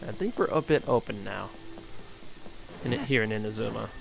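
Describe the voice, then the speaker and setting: Casual, halting male game streamer. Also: fairly low pitch, gravelly, rough recording. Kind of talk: casual live gameplay commentary, reading on-screen text aloud. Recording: faint game music behind.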